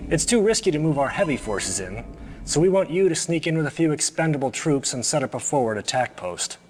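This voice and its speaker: Guy acting a cold smug villain second-in-command, game cutscene, talks down to you, pitch goes up and down a lot. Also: expressive, a little mellow, lightly acted. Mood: condescending, threatening. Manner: deliberate, condescending, coolly threatening. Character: smug menacing male right-hand lieutenant of a cult leader. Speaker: villainous mission briefer.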